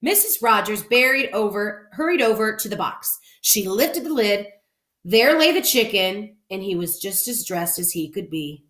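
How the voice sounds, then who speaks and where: Teacher lady reading a picture book to kids, very expressive, medium pitch, echoey room. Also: normal speed. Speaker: elementary school teacher reading aloud. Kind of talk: expressive children's storybook read-aloud. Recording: roomy indoor echo.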